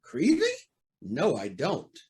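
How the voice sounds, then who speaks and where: A man's voice: mid-range voice, huge pitch swings, slow, darkish tone, slight background noise. A man playing a troubled, guilt-ridden judge, abrupt and defensive, in old-time radio drama style. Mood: troubled, curt. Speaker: actor in a radio play.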